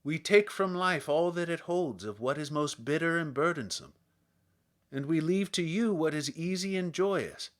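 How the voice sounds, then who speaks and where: A man's voice: a little high, expressive, moderate pace, natural voice. A man voicing weary, burdened wandering men in a storybook reading, chanting a bitter lament in a rhythmic, declamatory way. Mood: bitter reproach.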